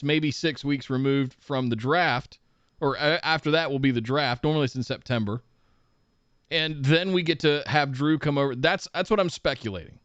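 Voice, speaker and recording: A man co-hosting a casual podcast, speaking in a measured, speculative way. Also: fairly high pitch, a bit bright, clean tone, dry recording. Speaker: podcast co-host. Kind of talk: casual wrestling podcast chat. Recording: muffled, dry remote podcast audio.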